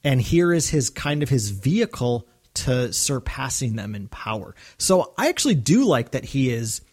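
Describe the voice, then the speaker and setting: A male podcast co-host with an average-pitched voice. He explains things casually and agreeably, as conversational commentary. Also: sing-song, moderate pace, a little mellow.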